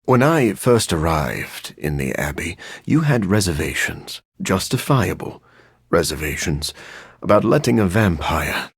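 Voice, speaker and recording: An actor playing a brooding, erudite vampire scientist in a video game, raspy and gravelly, with formal, precise, deadpan phrasing and a dry sardonic streak. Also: male voice, deep voice, a little slow, no echo, full character voice. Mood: polite, reserved. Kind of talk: video game character voice lines.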